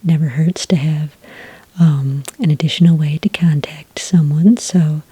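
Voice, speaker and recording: Soft spoken asmr lady playing a patient office clerk, whispery and mellow, close dry mic, polite and unhurried. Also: low-pitched, steady tone, dry recording. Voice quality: whispery, breathy. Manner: gentle, polite, unhurried customer-service patter. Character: friendly, patient female registration clerk at a hunting agency. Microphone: close microphone.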